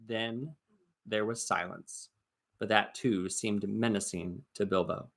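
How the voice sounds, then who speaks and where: A man reading a story aloud as dramatic narration, expressive and suspenseful, with deliberate emphasis. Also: fairly low pitch. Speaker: storybook reader.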